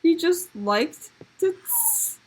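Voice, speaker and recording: A woman improvising comic stories for a puppet show in a giggly, halting way. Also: extremely high pitch, huge pitch swings, poor audio. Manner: giggly, halting, making it up as she goes.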